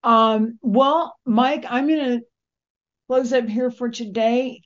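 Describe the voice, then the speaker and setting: A woman hosting a conversational interview as the interviewer, speaking in a measured way. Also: a little high, fairly even intonation. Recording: dull lo-fi audio.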